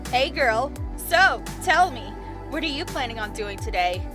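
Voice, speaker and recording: Woman as a high-pitched, teasing teenage girl. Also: big pitch swings, unhurried, over music. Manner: teasing, mock-dramatic. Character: teasing, playful teenage girl best friend. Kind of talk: fan audio drama acting.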